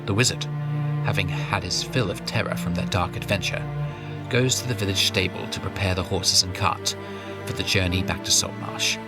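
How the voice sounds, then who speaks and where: A man's voice: low voice, moderate pace, over loud music. A man giving scripted narration as the storyteller of a fantasy audio drama.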